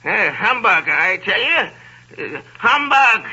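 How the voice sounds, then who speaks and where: A man's voice: high-pitched, big pitch swings, slow, pushed and bright, pitched above the performer's own voice, full character voice. An actor playing a miserly, testy old man who stammers and scoffs in a 1930s radio drama. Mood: scoffing. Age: older. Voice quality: gravelly, raspy. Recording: dull 1930s radio broadcast.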